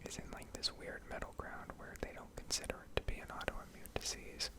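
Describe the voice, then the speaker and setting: A woman speaking in a soft, breathy whisper close to the microphone, rambling and explaining in a hesitant ASMR style. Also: a little fast. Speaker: ASMR video creator.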